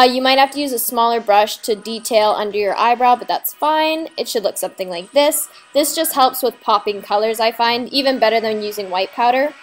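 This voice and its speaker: A young woman with a fairly high voice walks through a how-to tutorial in a breezy, informal manner. She sounds slightly under the weather, as if her voice is starting to give out. Her phrasing is slangy and casual, like talking to friends. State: slightly sick, voice giving out. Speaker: makeup tutorial host.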